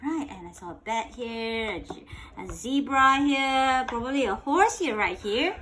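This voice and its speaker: A woman reading a picture book aloud to a child in an animated way, pausing to ask questions and repeating phrases. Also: a little high, slight background noise. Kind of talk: interactive bedtime read-aloud.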